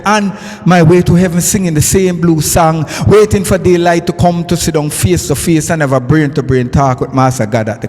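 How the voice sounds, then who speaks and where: A man's voice: fairly high pitch, darkish tone. A man with a Jamaican accent performing his poetry at a live event, chanting in rhythm and sometimes breaking into song. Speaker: poet and recording artist. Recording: reverberant live sound with music behind.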